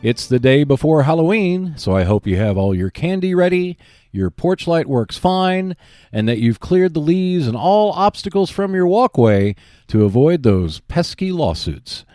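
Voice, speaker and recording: A man hosting a board game podcast, delivering a prepared monologue in a measured, clearly enunciated presenter style. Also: a little high, big pitch swings, warm tone, dry recording, clean studio sound. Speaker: podcast host.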